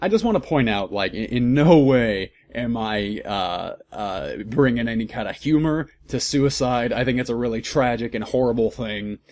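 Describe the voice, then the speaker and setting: A man's voice: medium pitch, lively intonation, normal speed, few pauses, a little mellow. A man reading a story aloud as a comedic host, breaking off for wry, amused asides.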